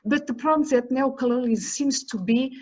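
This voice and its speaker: A middle-aged woman academic, whose first language is neither English nor Portuguese, speaking at a roundtable in a measured, emphatic way with repeated words and restarts. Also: high voice, a little mellow. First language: a language other than English or Portuguese. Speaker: academic panelist. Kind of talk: roundtable talk.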